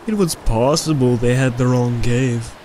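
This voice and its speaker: A man's voice: mid-range voice, measured pace, darkish tone, slight background noise, lightly acted, low-quality recording. A man acting a commanding, matter-of-fact rescue party leader in an audio drama. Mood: searching, commanding.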